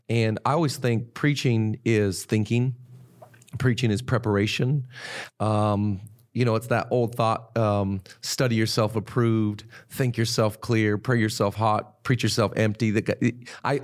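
Effusive male interviewer. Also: medium pitch. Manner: effusive, full of praise, quick to laugh. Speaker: host, interviewer. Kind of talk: live onstage interview. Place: live event before an audience.

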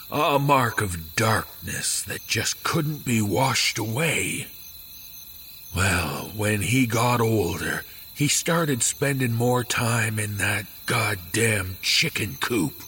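An actor playing a grim, haunted middle-aged father in a horror audio drama, speaking gravely and haltingly. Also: lowish voice, bright, forceful tone, a little hiss or hum, exaggerated voice. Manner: slow, grave, halting delivery. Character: grim, haunted father confiding a dark family secret.

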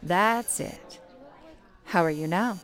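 A woman's voice: lowish voice, a bit bright. A woman playing a composed, motherly switchboard supervisor, formal and authoritative, in an old-time radio play. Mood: soothing, coaxing. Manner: formal, measured, authoritative, coaxing.

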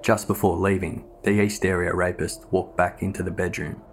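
A man reading scripted true crime narration in a measured, matter-of-fact way. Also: low-pitched, little pitch movement, creaky, faint music behind.

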